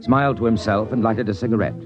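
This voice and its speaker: A man narrating a mid-century sci-fi radio drama as a composed, measured, dramatic storyteller. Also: on the low side, lightly acted. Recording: dull vintage radio recording. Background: music.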